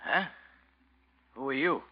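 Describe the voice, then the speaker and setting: An actor playing a pushy, menacing stranger in a 1940s radio noir drama, brusque and slangy. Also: male voice, mid-range voice, lively intonation, takes it slowly. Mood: suspicious, pushy. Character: pushy, menacing male stranger, tough and suspicious. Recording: dull old radio recording.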